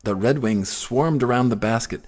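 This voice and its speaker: A man narrating a children's story in an expressive, theatrical way. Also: mid-range voice, normal speed, slightly rough, faint music behind. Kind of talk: dramatic children's story reading.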